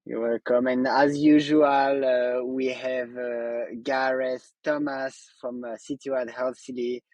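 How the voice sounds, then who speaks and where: A man's voice: medium pitch, little pitch movement, measured pace, a little mellow, no echo, muffled recording. A man hosting a tech podcast interview, speaking hesitantly with frequent fillers. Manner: hesitant, many "uh" fillers and restarts. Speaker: podcast host.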